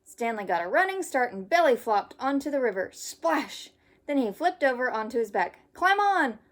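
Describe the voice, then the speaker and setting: A woman with a fairly high-pitched voice reads a children's story aloud. She sounds like a teacher telling it expressively to young pupils. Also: expressive, normal speed.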